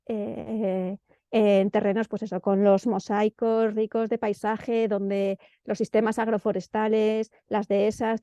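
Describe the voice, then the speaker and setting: Female presenter, central Spanish accent. Dark, relaxed tone, informal delivery with searching pauses and repeated words. Dry, slightly lo-fi sound. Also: medium pitch, dry recording. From Madrid, Spain. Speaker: presenter of an urban planning guide. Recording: dull, lo-fi dry audio.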